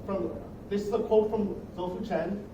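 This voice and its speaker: A man's voice: high voice, steady tone, moderate pace. A young man giving a casual, rambling, jokey student demo of his project. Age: young adult. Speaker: student presenter. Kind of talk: informal project demo presentation. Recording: echoey noisy room, distant microphone.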